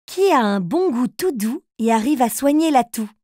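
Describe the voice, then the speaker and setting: A woman's voice: high voice, expressive, moderate pace, warm tone, a little hiss or hum. An actress playing a patient, encouraging female teacher who talks to young children in a preschool cartoon. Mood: playful, teacherly. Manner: patient, encouraging, clearly addressing small children.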